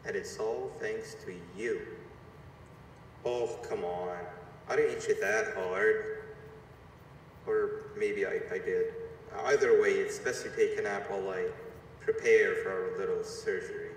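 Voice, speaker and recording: A man voicing a scheming cartoon monster mascot in a video game, falsely friendly and quietly menacing, in an ingratiating conversational manner with hesitant pauses. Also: deep voice, steady tone, far from the mic. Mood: smug, sinister. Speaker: game character guiding the player. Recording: echoey playback filmed off a screen, noisy.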